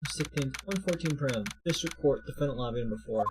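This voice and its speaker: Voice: mid-range voice, lively intonation, quick pace, background noise. A game streamer talking in a casual, chatty way while playing. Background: game music.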